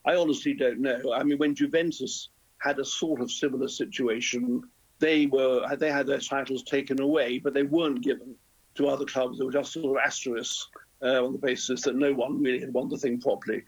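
A man's voice: medium pitch, fairly even intonation, a little fast, slightly rough, low-quality recording. A man in his seventies, a guest in a live radio interview, speaking hesitantly with repeated words. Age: 70s. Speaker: interview guest, former football club chairman.

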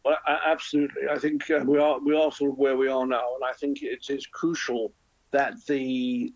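Older guy in his 70s on radio, husky, hesitant, muffled line. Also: medium pitch. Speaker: interview guest, former football club chairman. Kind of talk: live radio interview.

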